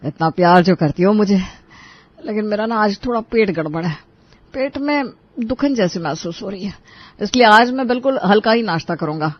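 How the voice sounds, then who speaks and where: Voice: mid-range voice, animated, moderate pace, muffled recording, lightly acted. A performer voicing a henpecked husband in a family cartoon, reasoning and placating. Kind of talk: Hindi family cartoon voice acting.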